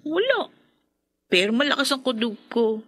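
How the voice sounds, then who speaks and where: A woman's voice: high-pitched, very animated, normal speed, fairly bright tone, exaggerated voice. An actress playing a distraught, accusing young woman in a Filipino radio horror drama, insistent and emotional. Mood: accusing, upset.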